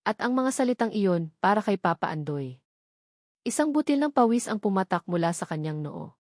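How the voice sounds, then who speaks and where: Woman, medium pitch, scripted dramatic love-story narration, dry close sound. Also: sing-song, moderate pace, darkish tone, no room sound.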